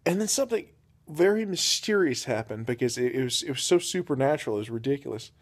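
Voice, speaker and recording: A young man telling an absurd comic story off the cuff, rambling and deadpan with many fillers. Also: mid-range voice, fast. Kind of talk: improvised comedic storytelling.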